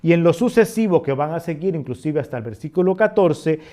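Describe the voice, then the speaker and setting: A middle-aged Costa Rican man with a slightly high voice teaches scripture in a podcast. He reads the passages slowly, then explains them with rising emphasis on the key points. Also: expressive, dark tone. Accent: Costa Rican Spanish. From Costa Rica.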